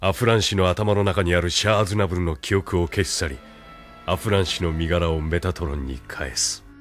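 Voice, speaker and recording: A man acting in a Japanese audio drama as a cold, calculating military superior with quiet menace. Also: sits low, fairly even intonation, brisk, faint music behind, lightly acted.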